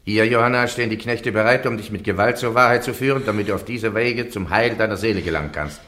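An actor playing a cold church judge at an inquisition, speaking formally and officiously. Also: male voice, fairly low pitch, fast, a bit bright, lightly acted. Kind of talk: radio play acting.

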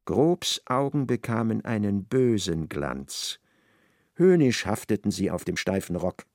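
A male narrator for a literary radio drama. His voice is fairly low with a creaky grain, recorded dry and close, and he tells the story with measured precision. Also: sing-song, darkish tone, no room sound.

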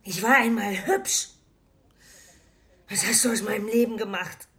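An actress playing a bitter, furious shopkeeper's wife, scolding and insulting in a theatrical radio drama manner. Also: female voice, medium pitch, measured pace, vocal fry, a bit bright. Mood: furious, anguished. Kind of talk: German radio drama acting.

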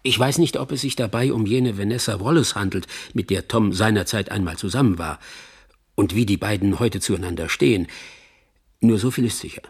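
An actor playing a measured, sceptical middle-aged Hollywood agent who narrates a radio drama, choosing his words deliberately. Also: fairly low pitch, slightly rough. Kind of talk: reflective first-person radio drama narration.

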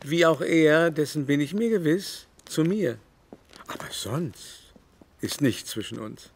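Affected, haughty older French baron. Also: male voice, on the high side, slow, a little hiss or hum. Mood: emphatic denial. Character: vain older male French baron, affected society aristocrat. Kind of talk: literary radio play dialogue.

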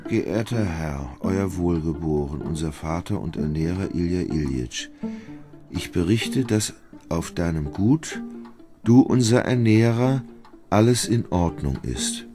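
An indolent, fretful gentleman in a radio drama. His voice is lowish and slow but swings widely in pitch. He sounds helpless, hesitant and plaintive. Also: animated, over music. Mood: reading gravely.

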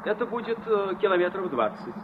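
A woman's voice: medium pitch, sing-song, brisk, close to an everyday voice. An actress playing a helpful local woman in an old Soviet radio play, matter-of-fact and obliging. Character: helpful local woman giving a stranger directions. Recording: noisy, dull old radio play recording.

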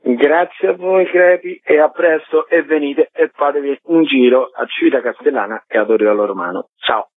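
A man's voice: fairly high pitch, normal speed, hardly any pauses. A man giving an explanatory radio interview as a guest festival director, on a remote call. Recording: muffled echoey phone line, rough sound.

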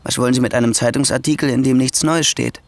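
A man's voice: medium pitch, steady tone, fast talker, no room sound, lightly acted. An actor playing a bitter young nephew who is in debt and hates his prospects, talking in a sullen, brooding way in a radio play. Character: bitter young male nephew, indebted and resentful.

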